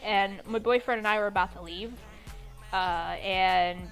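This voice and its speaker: A woman's voice: medium pitch, fairly even intonation, a little slow, poor audio. A young woman casually reading and mocking fan fiction, with an irreverent, sweary, giggly manner. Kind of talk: casual commentary on a read-aloud story. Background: background music.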